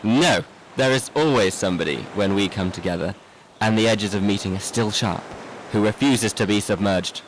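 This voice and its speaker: A man's voice: fairly low pitch, normal speed, a little hiss or hum, lightly acted, poor audio. An actor playing an intense, slightly drunk middle-aged man in a literary radio drama, probing and rhetorical. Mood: brooding. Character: tipsy, intense middle-aged man, needling old friends.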